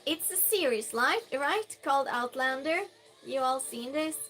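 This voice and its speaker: A woman's voice: high voice, lively intonation, unhurried, a bit bright, soft background music. A woman with a Norwegian accent, a native Norwegian speaker, chatting casually on a live stream, reading viewer messages aloud with plenty of fillers and restarts.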